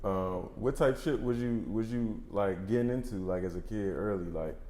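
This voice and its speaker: A man's voice: on the low side, normal speed, dark tone. A man hosting a casual interview, talking in informal slang with some swearing, in a roomy recording. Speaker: interviewer, host.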